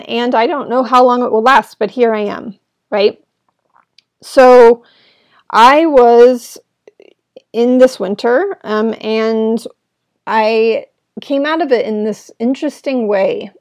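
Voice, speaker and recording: A woman hosting her own podcast, talking in an earnest, rambling way with a gravelly, smoke-irritated throat. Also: a little high, a little mellow, no room sound, clean studio sound. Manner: earnest, rambling, with frequent "right?". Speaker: podcast host. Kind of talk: reflective solo podcast talk. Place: at home indoors.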